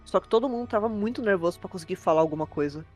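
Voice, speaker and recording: A woman's voice: medium pitch, lively intonation, very fast, darkish tone, soft background music. A woman with a Brazilian accent narrating a horror tale as host, in an informal, fluent, conversational way. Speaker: host and storyteller.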